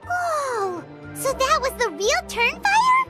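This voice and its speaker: Game fairy companion: childlike, squeaky, very high, excitable chatterbox with lively, bouncing intonation. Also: female voice, slow pace, music in the background, heavily acted. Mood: curious, excited. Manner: refers to self in third person, exclamatory. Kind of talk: video game voice acting.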